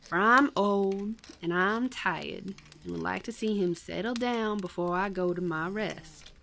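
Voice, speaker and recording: A woman's voice: mid-range voice, vocal fry, a bit bright, a little hiss or hum. A woman playing a kindly, shrewd old granny in a radio drama, with a folksy American country accent and fond, homespun talk. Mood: wistful, coaxing. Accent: American Southern or Western. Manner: slow, folksy, endearments like child and darling.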